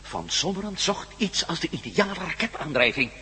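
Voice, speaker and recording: A man's voice: on the high side, sing-song, normal speed. An actor playing an inquisitive, level-headed male adventurer in a Dutch radio drama. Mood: pondering.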